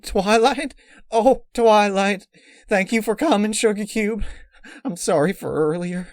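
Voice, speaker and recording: Man voicing a high, pleading pony mare. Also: measured pace, no room sound, clean studio sound. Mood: desperate pleading. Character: dying pony mare in labour, frightened and apologetic.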